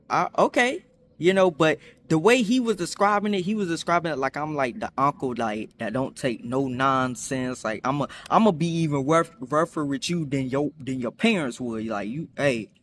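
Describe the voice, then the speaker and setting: A young man chatting casually in a storytime vlog, rambling with many fillers and restarts, acting out quotes in higher voices. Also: a little hiss or hum. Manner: acts out quotes with high voices. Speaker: vlogger.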